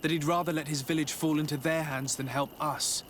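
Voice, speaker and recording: A man playing a composed, pragmatic diplomat in a video game, speaking in a measured, formal way. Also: a little high, fairly even intonation, fairly bright tone, slight background noise, close to an everyday voice. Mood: coldly firm. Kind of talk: video game cutscene acting.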